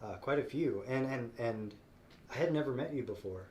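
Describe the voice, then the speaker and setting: A man's voice: medium pitch, normal speed, a little mellow. Man, stuffed up with a cold, live shop stream host, rambling, fillers, faint background noise. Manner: friendly, rambling, many fillers and restarts. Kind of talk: casual live-stream chat.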